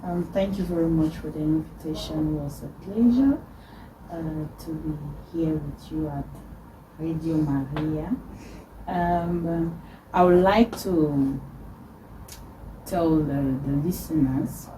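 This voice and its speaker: A woman's voice: low-pitched, slow pace. A woman from Cameroon speaking as a radio guest, hesitant with many fillers. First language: Cameroonian local language. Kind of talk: radio interview chat. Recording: distant mic with faint noise.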